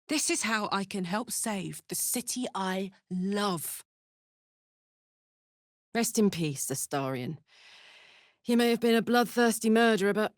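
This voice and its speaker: A woman playing a brash, warm-hearted, tough tiefling warrior in a video game, speaking in a blunt, slangy, heartfelt way. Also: medium pitch, no room sound, clean studio sound. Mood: heartfelt, resolute. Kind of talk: video game character voice acting.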